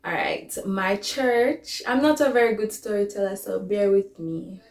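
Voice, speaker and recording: Slow-paced young Caribbean woman, echoey room. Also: medium pitch, a little mellow. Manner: informal, digressive, conversational. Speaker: vlogger. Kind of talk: casual storytime chat to camera. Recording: echoey, roomy sound.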